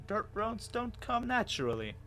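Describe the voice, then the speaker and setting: A man plays a lost man with no memory, anxious and bewildered. He tells his own story as a dramatic reading, with lively swings of pitch and expressive pauses. Soft music runs underneath. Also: full character voice. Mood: wondering. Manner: dramatic, expressive first-person telling with pauses. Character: confused lost amnesiac man recounting his wandering, anxious. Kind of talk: dramatic reading of fan fiction.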